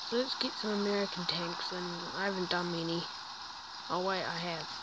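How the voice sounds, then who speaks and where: A woman with a croaky voice from a sore throat gives chatty, self-deprecating gameplay commentary while reviewing a tank in a video game, often stumbling over her words. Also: fairly low pitch, fairly even intonation, moderate pace. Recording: loud background noise with clicks.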